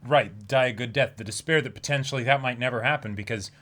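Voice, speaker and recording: A man co-hosting a reading stream, reacting in casual chat in an awed, halting, sweary way. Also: medium pitch, fairly bright tone.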